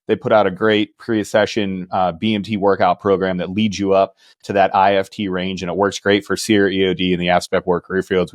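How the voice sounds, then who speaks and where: A man's voice: on the low side, no room sound, studio quality. A man hosting a podcast, talking in a wry, sarcastic way straight to his listeners. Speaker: podcast host. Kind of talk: casual podcast interview.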